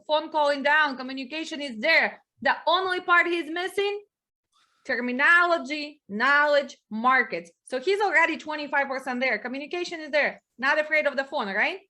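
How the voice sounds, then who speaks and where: Bright high-voiced woman instructor. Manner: direct, coaching, addresses class as guys. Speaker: dispatch training instructor. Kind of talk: online class introductions.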